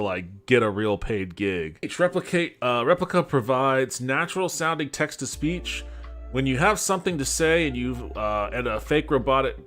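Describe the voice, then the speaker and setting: A man's voice: medium pitch, a bit bright. A man giving casual, critical commentary as a podcast host, with a skeptical, sarcastic way of talking. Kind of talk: casual critical commentary, reading web copy aloud. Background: background music.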